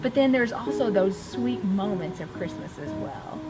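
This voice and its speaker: A woman's voice: medium pitch, lively intonation, normal speed, low-quality recording. A woman hosting a casual promotional chat, talking in a chatty, complimentary way as she interviews a guest. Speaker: host, interviewer.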